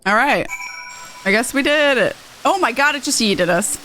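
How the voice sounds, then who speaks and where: A woman's voice: a little high, expressive, normal speed, sharp tone, faint music behind, low-quality recording. A woman giving casual, slangy livestream commentary while playing a game. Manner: slangy, informal. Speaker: game streamer.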